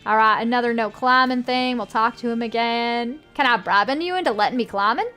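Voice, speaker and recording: A woman's voice: fairly high pitch, fairly quick, sharp tone, faint music behind. A woman with a put-on Southern US accent, casually commenting on a game and reading its text aloud. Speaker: game streamer.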